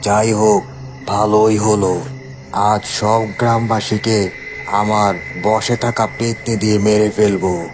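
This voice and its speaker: A man's voice: on the low side, level tone, slow. Guy doing a smug menacing village bad guy, drawn out talk, music behind him. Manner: mocking, menacing. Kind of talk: horror cartoon voice acting.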